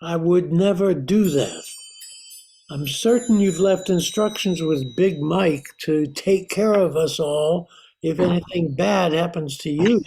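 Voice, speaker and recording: An anxious, mild-mannered scientist of old-time radio drama , played by an older man. He speaks slowly in a mellow tone, hesitant and politely apologetic. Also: fairly high pitch, low-quality recording. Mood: nervous. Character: anxious, apologetic male scientist, husband and uncle.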